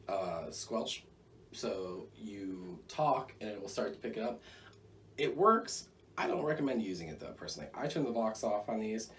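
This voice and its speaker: An American man giving a rambling, conversational product review with jokey asides. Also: deep voice. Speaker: gear reviewer. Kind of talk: casual product review to camera. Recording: distant mic, faint background noise.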